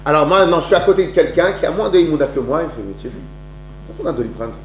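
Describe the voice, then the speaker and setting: A man's voice: fairly high pitch, rapid-fire. Man lecturing in an echoey hall. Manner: lively storytelling, many restarts and rhetorical questions. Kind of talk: religious talk to an audience. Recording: echoey room with faint hum.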